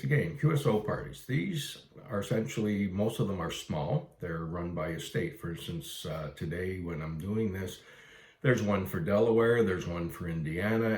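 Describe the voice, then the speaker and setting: A man's voice: deep voice, a little mellow, reverberant. A Canadian man giving a casual explainer for beginners in a plain-spoken, instructive way. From Ontario, Canada. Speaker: amateur radio video presenter. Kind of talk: casual explainer for new hobbyists.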